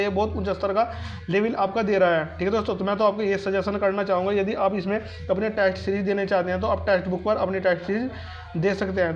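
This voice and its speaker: A man giving informal advice to viewers as a channel host, repeating his points for emphasis. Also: sits high. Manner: repetitive, emphatic with self-posed questions. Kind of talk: informal advisory talk to viewers. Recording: dull, lo-fi sound.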